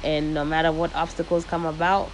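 A young woman hosting her own podcast, talking casually and lively and dropping Swahili slang into her speech. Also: sits low, brisk, slight background noise, low-quality recording. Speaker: podcast host. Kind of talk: casual solo podcast monologue. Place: living in the United States.

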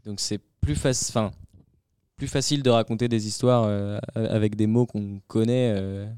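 A man's voice: lowish voice, fairly even intonation, normal speed, mellow, clear, resonant tone, no room sound. A young man, a singer-songwriter, answering interview questions thoughtfully with some hesitation and dry humor. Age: young adult. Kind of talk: casual interview chat. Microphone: microphone.

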